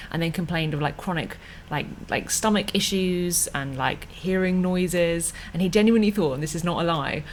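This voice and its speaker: A woman's voice: fairly low pitch, normal speed, few pauses, a little hiss or hum. An English woman co-hosting a podcast, chatting playfully with many fillers. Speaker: podcast co-host. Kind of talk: casual chat.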